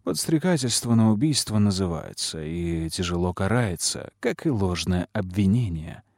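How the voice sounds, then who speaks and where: A man voicing a stern male inquisitor in an audiobook, grave and measured. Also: deep voice, lively intonation, a little mellow, no echo, clean studio sound. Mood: grave warning.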